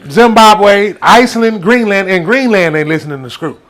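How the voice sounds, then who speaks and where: Male comic storyteller, Southern US accent, slightly high voice, casual, rambling, animated. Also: normal speed. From Texas, United States.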